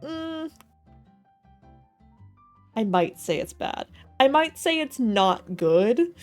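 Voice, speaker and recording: A woman's voice: on the high side, very animated, very slow, darkish tone. Woman livestreaming a game, playful and chatty. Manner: playful, thinking aloud. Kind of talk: casual live game commentary. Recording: faint game music behind the voice. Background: game soundtrack.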